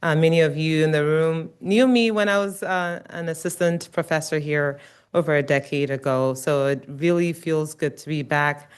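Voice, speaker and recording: Low-voiced woman giving a lecture. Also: normal speed, smooth. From Ghana. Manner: warm, earnest, with frequent um and uh fillers. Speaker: guest lecturer, nursing researcher. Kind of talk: academic lecture.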